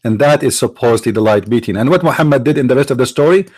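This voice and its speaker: A man's voice: medium pitch, expressive, racing, darkish tone, slightly rough, no echo. Sarcastic Arabic-speaking man, dry close sound. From Middle East. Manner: sarcastic, mocking rhetorical questions. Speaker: apologist speaker. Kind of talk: argumentative lecture.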